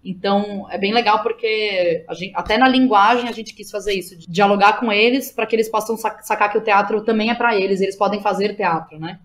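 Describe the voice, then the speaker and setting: A woman's voice: medium pitch, brisk, hardly any pauses. Informal Brazilian woman, slangy podcast guest. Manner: informal and slangy, frequent tag questions. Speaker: interview guest, playwright and theatre director. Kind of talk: casual podcast conversation.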